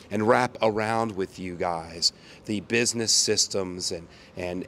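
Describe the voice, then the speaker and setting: An older American man with a hoarse, under-the-weather voice makes a folksy, upbeat pitch as a company owner. His voice sits fairly low, with a faint outdoor hum behind him. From United States. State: hoarse from a cold. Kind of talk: promotional chat to camera. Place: outdoors by a brick wall.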